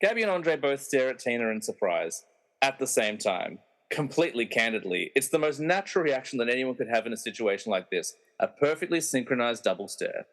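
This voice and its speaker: A man's voice: medium pitch, big pitch swings, a little fast, a bit bright, poor audio. A man narrating a comic podcast radio play and hosting its quiz with a tongue-in-cheek manner. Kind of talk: scripted comic narration with casual quiz banter.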